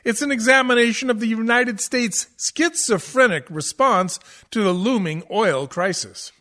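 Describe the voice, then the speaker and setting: An actor playing a pompous, erudite scholar of musical theater who lectures pretentiously, in a comic radio play. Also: male voice, high-pitched, normal speed, sharp tone. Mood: smugly lecturing.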